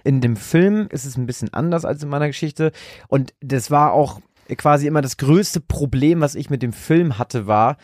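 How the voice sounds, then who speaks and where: A man's voice: fairly high pitch, normal speed, a little mellow, no room sound. Guy with north just chatting on a podcast, dry sound, no room. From Germany. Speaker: podcast co-host and storyteller. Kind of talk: casual chat.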